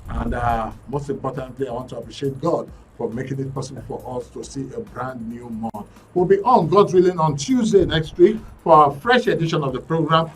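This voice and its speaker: A man hosting a live call-in radio talk show, briskly taking callers and guests with quick acknowledgements. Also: mid-range voice, distant mic, a little hiss or hum. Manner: brisk, cuts in with short acknowledgements. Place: radio studio.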